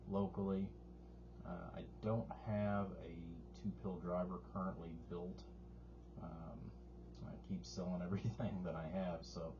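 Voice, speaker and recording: A man casually talking through an amplifier demonstration in a folksy, rambling, informal way, as a repair technician. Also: low voice. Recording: muffled sound with clear background noise.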